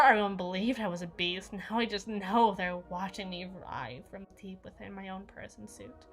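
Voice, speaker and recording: An unstable, possessive evil queen, acted by a woman, with a bright, tense voice. She speaks in a formal, theatrical roleplay monologue, brooding and menacing, over music. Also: mid-range voice, lightly acted. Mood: bitter, unhinged. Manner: theatrical, formal, intense, swinging between hushed and forceful. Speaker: audio roleplay voice actor. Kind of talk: dramatic ASMR roleplay monologue.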